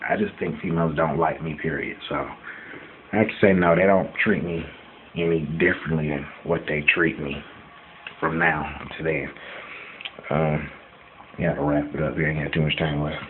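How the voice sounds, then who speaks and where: A man in his late twenties with a very low voice rants casually about himself. He is blunt and defiant, swearing and hammering his points by repetition. The sound is dull and lo-fi, with faint noise behind him. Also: flat delivery, normal speed, pauses often. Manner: blunt, defiant, profane, repeating himself for emphasis. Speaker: vlogger answering questions about himself. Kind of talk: casual personal rant to camera.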